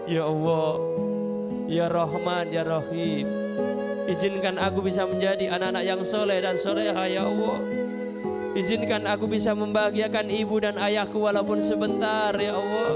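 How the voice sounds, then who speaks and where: Loud instrumental music sits under a man's high-pitched voice. He speaks as a motivational speaker addressing schoolchildren. His delivery is emotional and devotional, leaning on repeated phrases. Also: level tone. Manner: pleading, repetitive phrasing with drawn-out invocations. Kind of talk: emotional led prayer and motivational talk to children.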